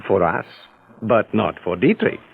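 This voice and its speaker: An actor playing a sly, double-crossing male crook in a 1940s radio drama, insinuating and low. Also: sing-song, a little slow, gravelly, a little hiss or hum, full character voice. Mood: scheming. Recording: narrow old radio broadcast.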